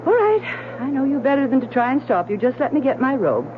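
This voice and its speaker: An actress playing a shrewd, sharp-eyed gentlewoman wife in a radio drama, speaking in a knowing, firm, persuasive way. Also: sits high, sing-song, fast. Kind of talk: 1970s radio drama acting. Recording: muffled, hissy old radio broadcast.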